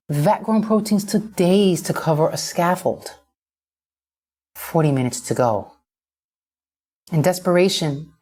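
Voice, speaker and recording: A woman reading a first-person short story as an audiobook narrator, expressive and dramatic. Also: lowish voice.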